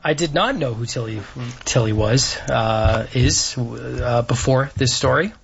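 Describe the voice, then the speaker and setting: A man's voice: medium pitch, a little slow, gravelly. A man co-hosting a radio show, laughing easily and trading jokes. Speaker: radio co-host. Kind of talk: casual radio chat. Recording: lo-fi radio audio with faint noise.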